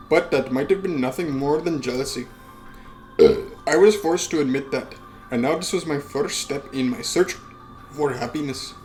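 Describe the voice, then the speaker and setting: A man's voice: fairly high pitch, darkish tone, low-quality recording. A man who hosts a let's play reads a visual novel aloud and adds casual asides with swearing.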